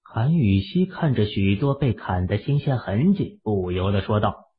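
A man with a raspy voice narrating an audio drama in a steady storytelling manner. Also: lowish voice, moderate pace, narrow, tinny audio.

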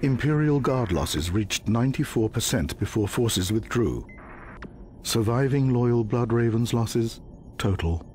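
An actor playing a stern military official who reads a formal archive report in a clipped, grim manner for a game. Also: male voice, medium pitch, expressive, a little slow, darkish tone, slight background noise, natural voice. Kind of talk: formal scripted report narration.

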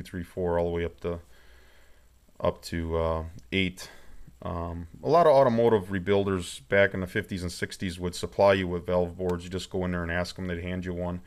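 Low-voiced man explaining a workshop project. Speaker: amateur tractor rebuilder presenting a project update. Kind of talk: informal hands-on explanation to camera.

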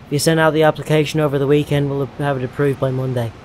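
An actor playing a smooth, secretive male government agent in an audio drama, clipped and coolly insistent. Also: a little high, flat delivery, fast talker, darkish tone, lightly acted. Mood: businesslike, insistent. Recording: noisy city street ambience.

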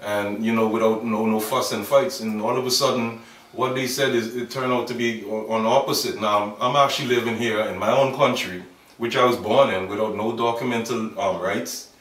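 A man's voice: fairly low pitch, fairly even intonation, fairly bright tone, distant mic. A man in his thirties with a Bahamian accent, speaking earnestly in an interview and stopping often to restart his sentences. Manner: earnest, halting, with many fillers and restarts. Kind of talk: documentary interview. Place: outdoors by a wall in a yard. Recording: echoey, off-mic camera sound.

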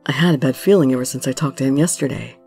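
A woman voicing an intense, lovesick young male musician in a romance story, pleading earnestly in a breathy way. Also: rumbling low, racing, a little mellow, soft background music, voice pushed down, heavily acted. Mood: jealous, urgent. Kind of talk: dramatic romance story reading.

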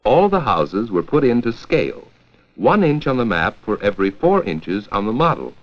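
An American man narrating a 1940s educational film from a script, with a clear, measured storytelling delivery. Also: on the low side, sing-song, soft background music. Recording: dull, lo-fi 1940s 16mm film soundtrack.

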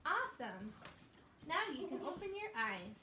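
Woman as children's puppet show host, high voice, encouraging and simple, recorded in an echoey room from a distance. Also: very animated, a little slow, pushed and bright. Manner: simple, encouraging talk addressed to small children. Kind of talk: scripted children's storytelling. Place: living room, indoors. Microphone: distant camera. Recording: echoey, noisy room, distant camera microphone.

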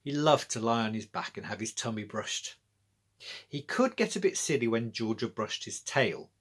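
A man's voice: medium pitch, very animated, normal speed, fairly bright tone, husky. A middle-aged British man narrating a children's story as a storyteller. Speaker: author and storyteller. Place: small indoor room with bookshelves.

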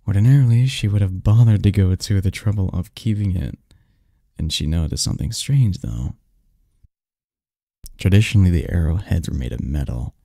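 A man's voice: low-pitched, gravelly, mellow, dry recording, studio quality, full character voice. A man playing a snarky, cynical young research assistant in an audio drama, talking conversationally with a scoffing, pedantic manner and small stumbles. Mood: absorbed, explaining.

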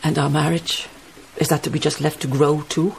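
Strong-willed young baroness, low female voice, earnest, radio drama, faint background noise. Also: level tone, normal speed, creaky. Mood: angry, reproachful.

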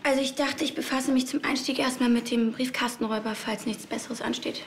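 An actress playing an earnest, principled young newspaper journalist in a TV drama. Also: female voice, fairly high pitch, steady tone, fairly quick, slight background noise, lightly acted.

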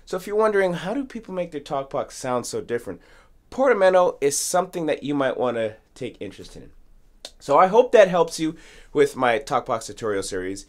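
A man's voice: fairly high pitch, very animated, fairly quick. A man in his thirties hosting an informal instructional tutorial, talking straight to viewers from a small studio. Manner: informal, addresses viewers directly. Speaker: music tutorial host, musician. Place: small home studio with acoustic foam. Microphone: studio condenser mic with pop filter.

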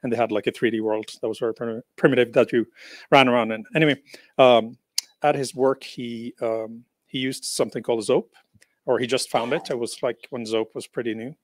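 A man's voice: mid-range voice, steady tone, fairly quick, no room sound. A middle-aged man from Norway, a panel guest, talking in a casual, rambling way full of fillers into a handheld mic. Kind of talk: panel conversation. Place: meeting room.

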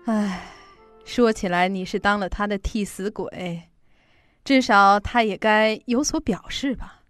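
A woman's voice: fairly high pitch, lightly acted. An actress in an audio drama playing a chatty, fretful middle-aged mother who sells insurance. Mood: aggrieved. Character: fussy, protective middle-aged working mother, insurance saleswoman.

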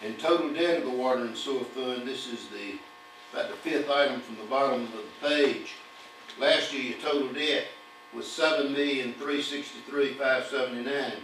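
A man's voice: medium pitch, a bit bright. An older man presents an audit report to a town council, reading long lists of figures at a podium with occasional fillers. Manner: reads figures steadily, with fillers. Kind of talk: formal financial report reading. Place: municipal council chamber. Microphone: podium mic, far camera. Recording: echoey distant room audio with hum.

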